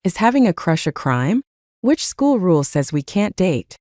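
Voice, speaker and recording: A woman's voice: fairly low pitch, sing-song, moderate pace, darkish tone, no echo, studio quality. A woman voicing a bold, defiant teenage schoolgirl in an audio story, with a challenging manner.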